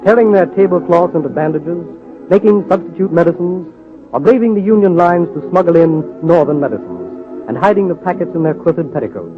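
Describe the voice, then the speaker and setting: A man's voice: fairly high pitch, animated, brisk, music in the background. Tinny vintage radio narrator, man. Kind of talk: dramatic scripted radio narration, 1930s-40s. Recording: tinny old radio recording.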